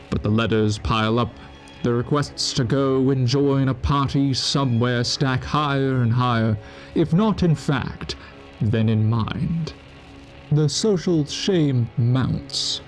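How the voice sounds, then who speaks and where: A man giving scripted, brooding radio-play narration with measured, dramatic pauses. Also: medium pitch, a little mellow.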